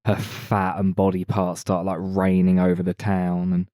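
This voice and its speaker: A man with a deep, mellow voice talks casually as a podcast co-host. The sound is dry and close, with very little room in it. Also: completely flat delivery, normal speed, dry recording. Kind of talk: casual film discussion.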